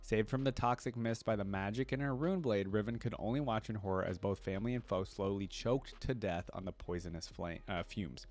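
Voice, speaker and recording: A man giving casual reaction commentary as an online creator, reading wiki text aloud and thinking out loud about it. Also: on the low side, fairly quick, few pauses. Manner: thinks aloud, interjecting between read passages.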